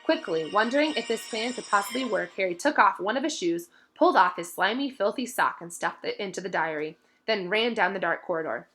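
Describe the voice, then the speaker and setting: A woman reading a storybook aloud as narrator, with expressive delivery. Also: mid-range voice, a little fast, few pauses, soft background music.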